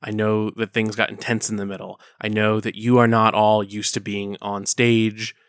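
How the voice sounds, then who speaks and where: Actor as male director, low pitch, earnest, measured, encouraging, superhero audio drama. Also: little pitch movement, clean tone, no echo, studio quality, natural voice. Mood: earnest, proud. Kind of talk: audio drama dialogue, speech to cast.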